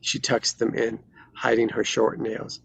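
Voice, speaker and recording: Casting: male narrator for screenplay stage directions, raspy with vocal fry, dry close sound. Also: mid-range voice, monotone, unhurried, a bit bright, no room sound, low-quality recording. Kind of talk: scripted narration at a live table read.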